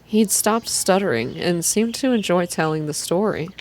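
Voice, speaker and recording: A woman softly reading a scripted first-person story in a measured ASMR style, as narrator. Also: lowish voice, lively intonation. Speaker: reader, narrator.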